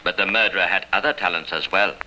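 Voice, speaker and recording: A man narrating a radio crime drama in a formal, dramatic, measured 1950s style. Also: low voice, pushed and bright. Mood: grave, dramatic. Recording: faintly noisy old radio recording.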